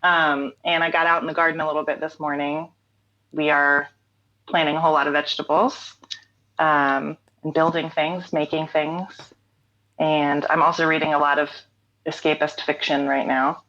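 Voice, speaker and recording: A woman with a notably low voice chats casually as a guest, unhurried and warm with frequent small laughs. The recording is slightly dull and lo-fi, like a remote call. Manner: relaxed, laughs often, many fillers. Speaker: interview guest, writer and songwriter. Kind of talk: casual interview chat.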